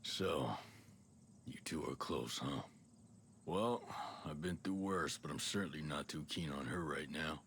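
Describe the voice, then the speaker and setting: An actor playing a gruff, confident middle-aged special forces soldier in a video game. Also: male voice, rumbling low, unhurried, a bit bright, slight background noise. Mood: wry, probing. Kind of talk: video game cutscene acting.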